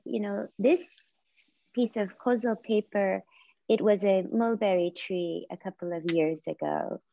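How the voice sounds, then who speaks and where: A woman in her forties whose first language is Japanese, speaking as a visual artist on a video call, soft and reflective with many pauses and restarts. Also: mid-range voice, vocal fry, slight background noise. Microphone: laptop or phone camera on video call. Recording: muffled lo-fi video call audio.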